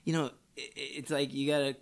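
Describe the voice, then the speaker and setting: A man with a Chicago accent, high and chatting casually as a podcast guest, swearing and filling with "you know.". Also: flat delivery, fast talker, vocal fry. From Chicago area, USA. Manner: laid-back, swears a lot, many "you know" fillers. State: stoned.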